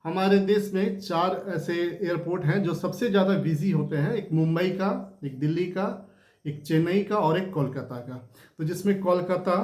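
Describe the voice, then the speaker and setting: A man's voice: fairly high pitch, darkish tone. A man giving informal, unscripted news commentary, explaining points repetitively and checking in with rhetorical tags. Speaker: host of a news discussion channel. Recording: roomy, echoing room sound.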